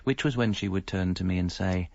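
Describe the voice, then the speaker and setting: A man's voice: low voice, a little fast, a little mellow, no room sound. An actor playing a hapless, evasive middle-aged English husband and father, narrating a radio comedy in a wry, self-deprecating way. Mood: wry, confiding. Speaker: narrator and lead character. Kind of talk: radio comedy monologue and dialogue. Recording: slightly dull audio, music behind.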